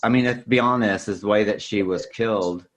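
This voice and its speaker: Low-voiced man rambling through film talk. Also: a little fast, fairly bright tone, slight background noise. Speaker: podcast co-host. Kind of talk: casual film discussion.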